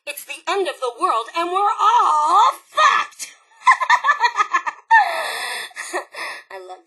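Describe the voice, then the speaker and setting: A woman voicing a cheerful, bloodthirsty female raider robot in a video game, brash, sarcastic and excitable, with a sharp, forceful delivery. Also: sits high, heavily acted, poor audio. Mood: manic glee. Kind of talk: animated video game character acting.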